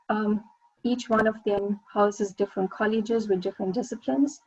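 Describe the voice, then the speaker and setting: A woman's voice: mid-range voice, a little mellow, slightly rough, no room sound, dull audio. A South African woman, a senior lecturer, giving an academic presentation on a video call with frequent ums and uhs. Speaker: senior lecturer, webinar panelist.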